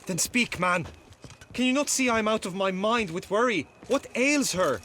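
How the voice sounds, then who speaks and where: An actor playing an earnest, anxious, gallant knight in a video game, with formal and florid courtly speech. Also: male voice, sits high, lively intonation, moderate pace, bright, forceful tone, slight background noise. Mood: worried, agitated. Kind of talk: dramatic video game acting.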